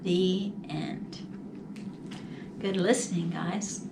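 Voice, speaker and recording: A woman reading a picture book to young children in a slow, animated, deliberate storytime style. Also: lowish voice, gravelly. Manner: deliberate, animated, drawn-out phrasing. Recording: distant mic, faint background noise.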